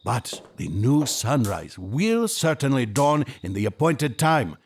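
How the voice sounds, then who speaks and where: An actor in an audio drama playing an earnest, devout Magus, speaking in a solemn, impassioned, oratorical manner. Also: male voice, medium pitch, very animated, slow pace, slight background noise. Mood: earnest, insistent. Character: Artaban, earnest devout male Persian Magus, fervent seeker.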